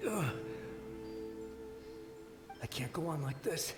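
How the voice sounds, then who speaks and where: An actor playing a desperate, exhausted soldier's ghost in a video game, urgent and pleading. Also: male voice, a little high, animated, takes it slowly, over music. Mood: desperate warning. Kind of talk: dramatic video game acting. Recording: echoey ghostly reverb.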